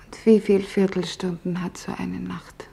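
An actress playing an office worker recalling wartime nights, in deliberate, hushed storytelling with dramatic pauses. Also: female voice, fairly low pitch, little pitch movement, vocal fry. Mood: tense, haunted. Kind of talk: dramatised testimony in a radio feature.

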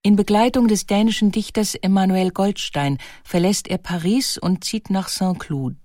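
A woman narrating a scripted biographical documentary in a measured, articulate manner. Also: mid-range voice, warm tone, no room sound, clean studio sound.

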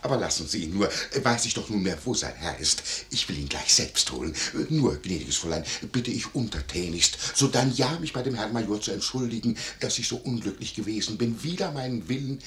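A fawning innkeeper in a vintage German radio play. His speech is flowery and servile, with lively swings of pitch. The room around him rings with echo. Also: brisk, hardly any pauses, slight background noise. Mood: servile, eager. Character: fawning, obsequious innkeeper, eager to please. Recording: roomy old radio studio recording.

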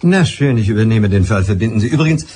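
An actor playing a courteous, skeptical police inspector in a German radio play, speaking in a measured, slightly hesitant way. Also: male voice, on the low side, expressive, warm tone, a little hiss or hum, close to an everyday voice. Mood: polite, probing.

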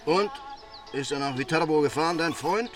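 An actor playing a sceptical mob accomplice who asks probing, sardonic questions in a radio crime drama. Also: male voice, a little high, animated, normal speed, music in the background, lightly acted. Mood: needling.

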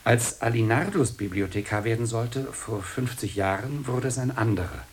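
An actor playing a gossiping old monk in a German radio drama, emphatic, then dropping into muttered asides. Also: male voice, mid-range voice, moderate pace, a little hiss or hum, natural voice. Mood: knowing, grudging.

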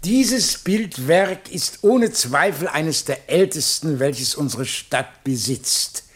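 An actor playing a pompous, long-winded scholar who lectures in florid style in a radio play, with a husky voice. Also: male voice, fairly high pitch, expressive, slow, exaggerated voice. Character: pompous, long-winded male scholar showing off paintings to a prince. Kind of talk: German radio play acting.

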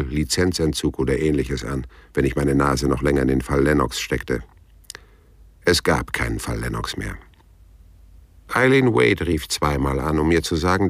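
Deep, gravelly hard-boiled detective. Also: male voice, no room sound, full character voice. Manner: laconic, blunt, dry. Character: hard-boiled male private detective, laconic and probing. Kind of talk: German radio crime drama acting and narration.

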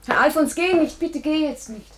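A woman's voice: high voice, moderate pace, noisy background, lightly acted. An actress playing a defiant, defensive young woman in a radio play, with a curt and indignant manner. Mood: wounded, pleading. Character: defiant young unmarried woman questioned by police. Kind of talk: German radio play acting.

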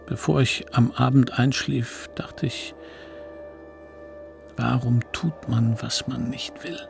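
Troubled guy telling his boyhood story, gravelly, brooding, music behind. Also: medium pitch, fairly even intonation, takes it slowly. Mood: haunted, subdued. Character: troubled man narrating his boyhood guilt. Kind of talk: dramatic radio play narration.

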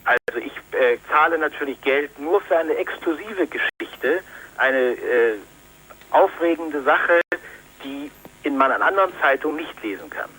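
Guy on a phone line defending his magazine, bright sharp voice, lots of ums, tinny. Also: on the high side, animated, measured pace, husky. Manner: firm, defensive, hesitant with many ums. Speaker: magazine editor, phone guest. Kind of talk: phone interview.

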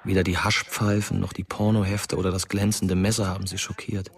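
An actor as the sober storyteller of a German crime radio drama, giving scripted third-person narration. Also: male voice, low-pitched, flat delivery, brisk.

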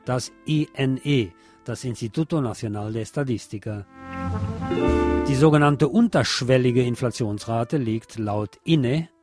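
A man reads radio news in a sardonic, opinionated way, adding his own commentary as he goes. His voice is mid-pitched, and music plays faintly behind him. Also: unhurried. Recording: music bed under the voice.

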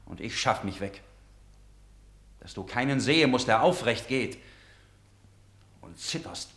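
An actor playing an upright, defiant young prince in a classical verse radio drama, declaiming earnestly and in measured phrases. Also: male voice, mid-range voice, animated, fairly bright tone, roomy sound. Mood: bitter anger. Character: upright, defiant young prince pleading with his tyrant father.

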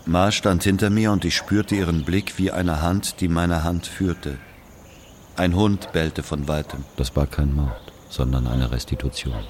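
A man playing an aging Algerian who confesses an old killing in a brooding, deliberate literary monologue. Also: low-pitched, darkish tone, a little hiss or hum. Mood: grave, confessing. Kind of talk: literary monologue, dramatic audio narration.

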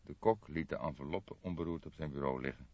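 A man narrates a crime audiobook in a low voice. The delivery is scripted storytelling, recorded dry and close with slightly dulled sound. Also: brisk, darkish tone, no room sound, lo-fi sound.

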